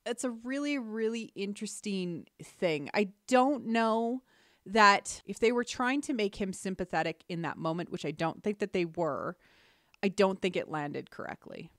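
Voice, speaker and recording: A woman's voice: medium pitch, normal speed, fairly bright tone, dry recording. Earnest woman podcaster, close dry sound. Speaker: podcast host. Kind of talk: analytical podcast discussion.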